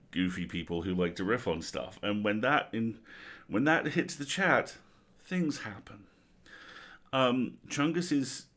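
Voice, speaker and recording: Livestream host: lowish male voice, informal, wry, given to playful jokes. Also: fairly bright tone. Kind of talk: casual livestream chat.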